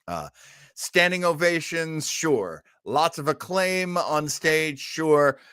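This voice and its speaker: A man's voice: a little high, lively intonation, takes it slowly, a bit bright, smooth, no room sound, clean studio sound. An American man hosting a casual radio fan show, speaking in a theatrical, effusive and self-mocking manner. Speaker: host. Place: indoors at home.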